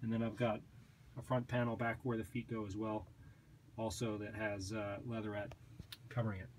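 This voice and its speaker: A man giving a relaxed, matter-of-fact walkthrough of his basement home flight simulator, filmed on a handheld camera. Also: lowish voice, moderate pace, slight background noise. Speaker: flight sim hobbyist giving a tour. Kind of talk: casual walkthrough narration. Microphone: handheld camera mic, moving around.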